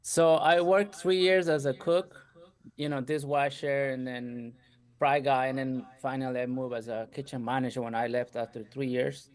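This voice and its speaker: A man's voice: medium pitch, flat delivery, moderate pace, clean tone. A middle-aged man from Ecuador with a light Ecuadorian Spanish accent, telling his story as a guest in a casual interview, chatty and laughing often. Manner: chatty, laughs often, says "you know" a lot. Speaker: guest entrepreneur.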